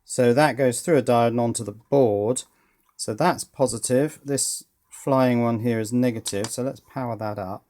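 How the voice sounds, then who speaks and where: A man's voice: medium pitch, normal speed, darkish tone. A man giving unscripted teardown commentary as a hobbyist presenter, thinking aloud with dry, understated humour.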